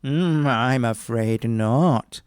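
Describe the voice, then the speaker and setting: Brief: a man playing a crafty woodman, wheedling, mellow tone, slow, dry sound. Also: clean tone, dry recording. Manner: sly, wheedling, rising pitch. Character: crafty, hungry poor male woodman. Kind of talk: storybook read-aloud.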